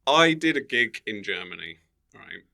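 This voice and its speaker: A man's voice: on the low side, very animated, measured pace, vocal fry, pushed and bright. A middle-aged man with a British accent, a comedian guest telling anecdotes casually and jokingly, acting out the remarks he quotes.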